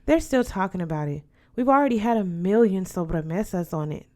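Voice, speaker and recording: A polite teenage schoolgirl in a storybook, read by a woman. She sounds earnest and questioning, with a medium-pitched voice as she talks with her godmother. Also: lively intonation, fairly quick, darkish tone, close to an everyday voice. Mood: earnest, hesitant.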